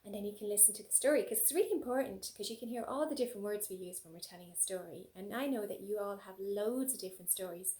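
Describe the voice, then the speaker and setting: A woman reading a story aloud as a preschool teacher, with coaxing, animated asides and questions for young children. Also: mid-range voice.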